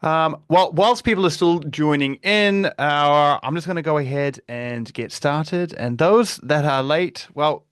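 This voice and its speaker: A man with a New Zealand accent presenting a live webinar in a relaxed, conversational way, with frequent fillers and restarts. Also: a little high, a little slow, few pauses, smooth, no room sound, clean studio sound. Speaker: webinar presenter, security advocate.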